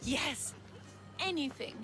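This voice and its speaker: A woman's voice: high-pitched, slow pace, over music. A woman playing a naive, lovesick young woman in a video game, earnest and pleading. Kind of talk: dramatic video game acting.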